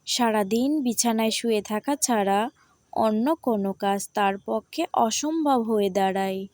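A woman narrating a scripted storybook tale in a measured, deliberate manner. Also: fairly high pitch, darkish tone, no echo, clean studio sound.

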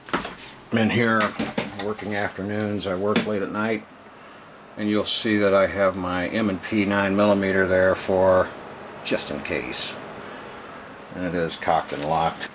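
A man with a low voice narrates a casual tour of a small room at home. His talk is folksy, salty and self-deprecating, full of easygoing slang. The handheld camera recording carries some faint background noise. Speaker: video creator giving a room tour. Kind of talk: casual offhand vlog narration. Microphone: handheld camera, operated by the speaker.

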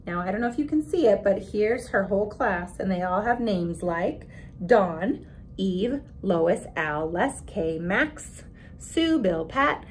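A woman in her thirties, a teacher giving an expressive children's read-aloud with chatty asides, emphatic and speaking directly to the kids. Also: slow. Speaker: teacher reading a picture book aloud. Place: small indoor room with bare wall. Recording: steady background noise with low rumble.

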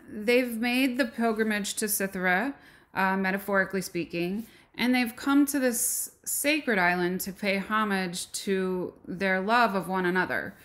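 Woman, art history lecturer, unhurried and halting delivery, mid-range voice. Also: medium pitch, a bit bright. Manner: slow, with frequent ums and pauses. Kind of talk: recorded slideshow lecture.

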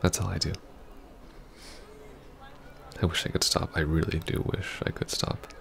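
A man playing a heartbroken, earnest ex-boyfriend in an intimate ASMR roleplay, speaking haltingly and sometimes dropping to a near whisper. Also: low voice, little pitch movement, a bit bright, slight background noise, heavily acted. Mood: pleading, heartbroken. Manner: halting, hesitant, breathy half-whispers. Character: heartbroken, earnest young ex-boyfriend pleading for closure.

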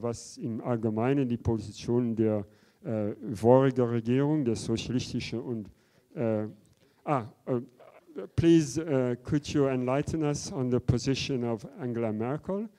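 This is an older man from the Netherlands, speaking with a Dutch accent, who stumbles along with many fillers as he asks his question. His voice is slow, dark and smooth. He holds a handheld microphone in a large conference hall. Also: mid-range voice. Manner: hesitant, many fillers, switches languages. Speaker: audience member asking a question. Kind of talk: halting public question in a debate.

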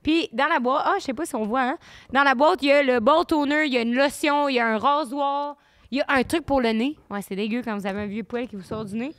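High-voiced quebecois woman cohost, teasing dry comebacks, handheld mic. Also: quick pace, fairly bright tone, dry recording. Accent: Québécois French. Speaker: podcast co-host. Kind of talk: casual chat. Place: studio set on a couch. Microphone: handheld studio mic.

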